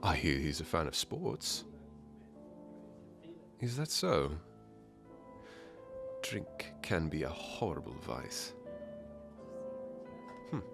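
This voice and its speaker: A man's voice: a bass voice, very animated, extremely slow, gravelly, long pauses, over music, heavily acted. A man playing a charming, teasing masked nobleman in a romantic ASMR fairytale roleplay, suave and playful with courtly phrasing. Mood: dry, playful.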